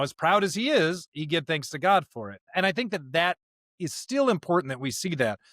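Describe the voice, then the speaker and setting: A male podcast host with a somewhat high voice that swings widely up and down. He gives conversational theological commentary, driving points home with asides and rhetorical questions. The recording is close and dry. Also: fairly bright tone, no echo.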